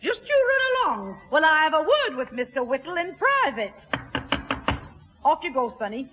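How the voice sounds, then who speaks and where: A sharp-tongued, wry woman, high-voiced and very animated, quick to take offence. She sounds like sixties radio comedy, with clear noise on the old recording. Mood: indignant. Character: brisk, wry Northern woman, quick to indignation. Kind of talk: 1960s radio comedy acting. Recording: muffled, noisy old radio broadcast.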